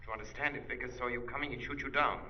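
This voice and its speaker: An actor playing a mysterious unseen crimefighter in a 1930s radio drama, commanding and resolute, with deliberate diction. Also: male voice, medium pitch, fairly even intonation, close to an everyday voice. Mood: urgent, commanding. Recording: dull old 1930s radio recording.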